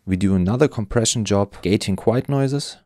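Man, tutorial presenter, low pitch, very dry close sound, measured explaining. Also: a little mellow, no room sound.

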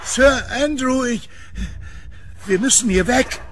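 An actor playing an anxious, alarmed man in a horror radio drama, halting and pleading in manner. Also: extremely high pitch, a little hiss or hum, exaggerated voice. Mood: frightened. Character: anxious, alarmed man, devoted dog owner.

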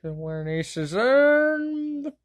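A woman voicing a jokey, excitable young boy wizard in a cartoon fan dub, cracking wisecracks and yelping exclamations. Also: sits high, very slow, exaggerated voice.

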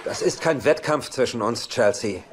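An actor playing an evasive, glib engaged playboy in dubbed sitcom dialogue, wry and easily flustered. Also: male voice, medium pitch, expressive, normal speed, a loud crowd, very noisy, close to an everyday voice. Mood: defensive.

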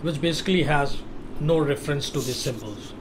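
A man's voice: a little high, fairly quick, noisy background. A man giving a casual gameplay walkthrough, explaining things step by step and repeating himself. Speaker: gaming walkthrough host.

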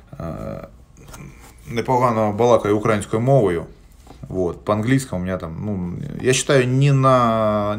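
A man in his forties chatting on a live stream from a small indoor room, answering viewer questions bluntly, with many hesitation sounds. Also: on the low side. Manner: plain-spoken and blunt, with frequent hesitation sounds. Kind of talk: casual live-stream chat. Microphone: phone held at arm's length.

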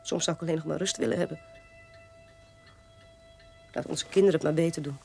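An actress playing a weary, proud sister in a radio drama, speaking in a measured way. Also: female voice, low voice, steady tone, gravelly, a little mellow, faint music behind. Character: weary, proud sister defending her disgraced father.

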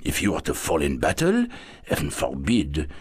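An actor playing a theatrical medieval nobleman and soldier in a video game, speaking in a dramatic, declamatory way with a creaky, husky voice. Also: very deep voice, huge pitch swings, normal speed, heavily acted. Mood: smooth scheming. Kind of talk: video game cutscene acting.

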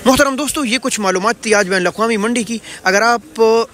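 A man's voice: very high voice, fairly quick, pushed and bright. A man in his thirties with a Pakistani accent, presenting an informational market report in an earnest, projected way. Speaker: host of market rate updates.

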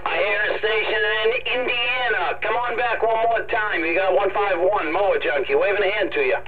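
A man's voice: high voice, normal speed, loud music behind the voice. A friendly man making casual CB radio contacts, repeating call signs and sign-offs. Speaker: CB radio operator. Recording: thin phone-like CB radio sound, chatter.

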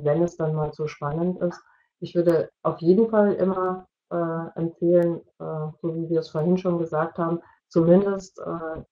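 A middle-aged woman answering as an expert in an online Q&A, explaining haltingly with pauses mid-sentence. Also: lowish voice. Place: indoors, video call. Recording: dull, lo-fi video call audio.

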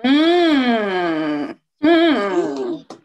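A woman's voice: mid-range voice, big pitch swings, extremely slow, a little mellow, highs cut off, faint music behind. A woman hosting a children's reading show, chatting agreeably over a video call. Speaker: show host. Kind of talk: casual chat after a reading.

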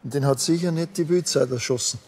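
A man's voice: on the high side, fast, slightly rough. An actor playing a dry, unbothered, sarcastic policeman in a crime comedy audio drama. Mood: sarcastic, deadpan. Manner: dry, deadpan, teasing.

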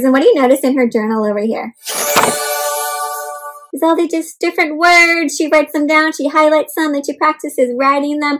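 Young woman, high voice, lively children's read-aloud, close webcam at home. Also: breathy edge, low-quality recording. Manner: animated, addresses kids as "my friends". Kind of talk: interactive picture-book read-aloud. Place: home room with bookshelf and plants.

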